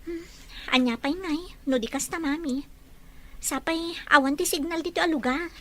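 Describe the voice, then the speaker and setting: A woman playing an expressive young woman in an Ilocano audio drama. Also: high voice, normal speed, no echo. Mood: resigned.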